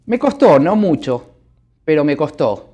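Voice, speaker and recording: Calm middle-aged Argentine businessman. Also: a little high, measured pace. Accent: Argentine Spanish. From Buenos Aires, Argentina. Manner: calm, reflective, hesitant with fillers. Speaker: businessman, interview guest. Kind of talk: telling his life story. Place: office.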